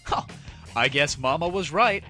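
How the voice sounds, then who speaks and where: A man's voice: fairly high pitch, lively intonation, a little slow, bright, forceful tone. A man hosting an online gaming comedy show, delivering a scripted, crude and sarcastic rant in a theatrical, mock-grandiose way. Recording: music under the voice. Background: music.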